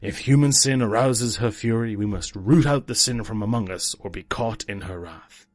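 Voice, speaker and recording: Man playing a husky, weary holy knight. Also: on the low side, normal speed, darkish tone, dry recording, lightly acted. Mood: defensive, stern. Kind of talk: dramatic fantasy audio drama acting.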